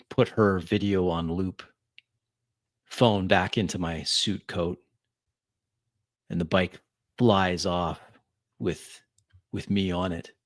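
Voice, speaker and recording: A man narrating his character's actions in a tabletop roleplay, in measured, dramatic short phrases. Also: sits low, dry recording, clean studio sound. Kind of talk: immersive first-person roleplay narration.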